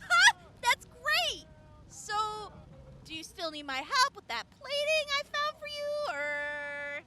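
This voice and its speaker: A woman playing a peppy, excitable, teasing gadget inventor in an audio drama, bubbly and eager. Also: extremely high pitch, slow, over loud music, full character voice. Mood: playful.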